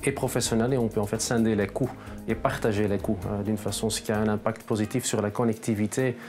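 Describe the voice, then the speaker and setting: A man's voice: lowish voice, steady tone, fast. A man explaining a product in a matter-of-fact way, as a specialist in a corporate testimonial. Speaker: telecom solution specialist. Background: background music.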